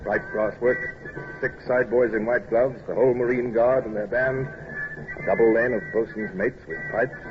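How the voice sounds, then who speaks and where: An actor playing a reserved, gruffly tender naval commodore in a radio drama, speaking in a clipped, formal, hesitant way. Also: male voice, medium pitch, steady tone, moderate pace, music in the background, lightly acted. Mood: proud, reflective. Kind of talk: old-time radio drama acting and narration. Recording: dull, noisy old radio recording.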